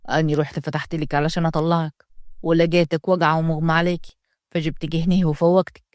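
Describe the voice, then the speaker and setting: A woman voicing a harsh, commanding wealthy father in an Upper Egyptian accent, gruff and blunt. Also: low-pitched, flat delivery, fast, a little mellow, dry recording, clean studio sound, close to an everyday voice. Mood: worried. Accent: Upper Egyptian Arabic. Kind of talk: storytelling, acted dialogue.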